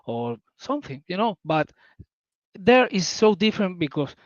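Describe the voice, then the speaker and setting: A man's voice: high voice, very animated, moderate pace, no room sound, dull audio. A man from southern Spain with a Spanish accent, a native Spanish speaker talking as a guest on a community call, searching for English words with eager enthusiasm. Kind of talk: casual call talk.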